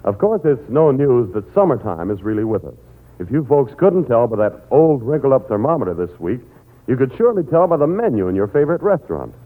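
A man's voice: mid-range voice, sing-song, a little fast. An American man announcing a sponsor message in 1940s radio advertising style. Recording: muffled old narrow-band radio recording.

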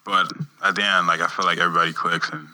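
Very deep, flat-voiced young man. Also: poor audio. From Montreal, Canada. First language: French. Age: young adult. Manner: soft-spoken, halting, repeats words while searching for them. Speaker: guest athlete. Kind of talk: casual interview answers.

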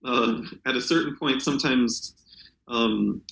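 A man speaking as a literary translator in an unscripted interview, halting and often searching for words. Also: medium pitch, gravelly, a bit bright, roomy sound, rough recording.

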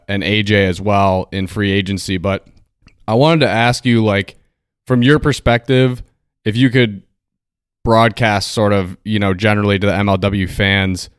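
A man's voice: on the low side, clean tone, no room sound, studio quality. A man co-hosting a podcast, asking questions and chatting in easygoing, agreeable banter. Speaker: podcast co-host. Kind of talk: casual podcast chat.

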